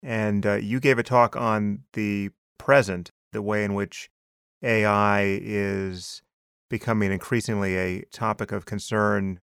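A man hosting an interview podcast, asking questions in a measured, thoughtful way. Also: lowish voice, gravelly, fairly bright tone, clean tone, dry recording, clean studio sound. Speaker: podcast host.

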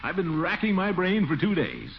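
An actor playing a pompous, easily flustered middle-aged bachelor with an American accent and a blustering, chuckling manner in a 1940s radio comedy. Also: male voice, high voice, huge pitch swings, moderate pace, faint music behind, full character voice. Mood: bashful, flustered. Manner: blustering, chuckling, theatrically swooping. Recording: muffled, noisy 1940s radio recording.

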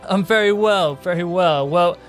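Higher-voiced male podcast host. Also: unhurried, faint music behind. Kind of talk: podcast interview and scripted intro.

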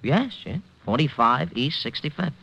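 An actor playing a nervous, defensive gossip columnist, anxiously protesting, in a 1950s radio drama. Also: male voice, medium pitch, big pitch swings, moderate pace, creaky, sharp tone, dull audio, heavily acted.

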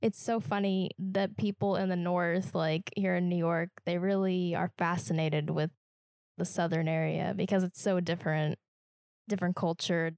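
A woman from Kansas with a Midwestern American accent talks through ideas on a casual podcast, her voice a little low and gravelly. She speaks in a reflective, halting way and restarts phrases often. The recording is dry and close, with no room sound. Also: flat delivery, darkish tone, no echo. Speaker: podcast co-host.

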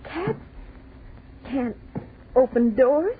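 A woman's voice: sits high, very animated, extremely slow, full character voice. An actress playing a tense, bossy young woman hiding her fear, in 1940s radio horror style. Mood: hushed dread. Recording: scratchy, tinny 1940s radio broadcast.

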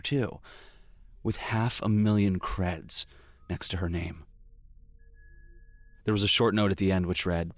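A brooding detective with a low voice, recorded close and dry. He narrates his past in wry, confessional noir style, as in an audio drama. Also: dry recording. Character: brooding male police detective recalling his past mistakes. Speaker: lead character and narrator.

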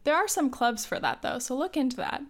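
A young woman in her twenties giving casual advice in a chatty way, with many fillers and small laughs, a little hoarse from coughing. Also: high voice, big pitch swings, a little fast. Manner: chatty, lots of "like" and "um", laughs often. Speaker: advice host.

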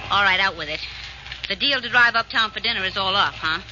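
An actress playing a detective's witty, sardonic young sweetheart, with dry playful quips and an American accent, in 1940s radio drama style. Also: female voice, medium pitch, fast talker, background noise, natural voice. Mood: dryly sardonic. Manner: dry, playful, arch quipping. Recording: muffled old radio recording with music.

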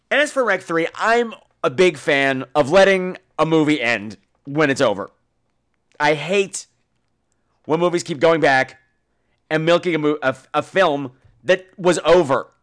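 A man hosting a podcast, giving casual, opinionated and profane film commentary in an animated way. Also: on the high side. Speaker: podcast host.

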